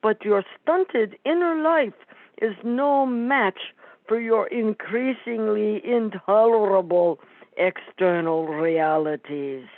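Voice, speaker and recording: A woman playing a pompous, neurotic psychiatrist in a comic radio play, with a measured clinical delivery and tinny, phone-like sound. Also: mid-range voice, no echo. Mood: pompous, analytical.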